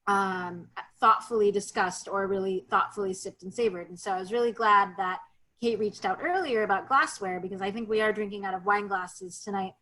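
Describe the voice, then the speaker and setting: A woman in her thirties or forties, a sake expert talking in a relaxed, chatty way over a video call, with many ums and restarts. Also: mid-range voice, low-quality recording. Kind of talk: casual virtual tasting talk.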